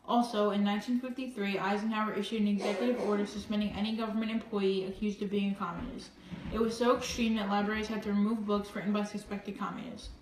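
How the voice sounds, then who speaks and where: A woman's voice: mid-range voice, flat delivery, fast talker, background noise. A woman reading a scripted news report as a student reporter. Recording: echoey room, distant from microphone.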